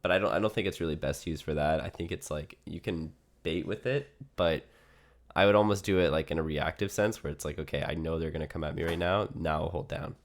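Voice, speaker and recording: Deep-voiced man, sick and worn. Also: fairly quick. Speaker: coach. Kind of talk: casual one-on-one gaming lesson. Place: remote online voice call. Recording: live online voice call.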